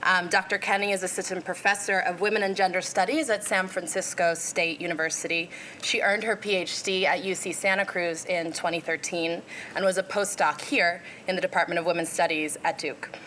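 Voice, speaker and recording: A woman with a somewhat low voice reads a prepared introduction of a guest speaker. Her delivery is smooth and formal. Faint room noise sits behind her. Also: a bit bright. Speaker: postdoctoral researcher introducing the speaker. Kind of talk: read introduction. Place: lecture room.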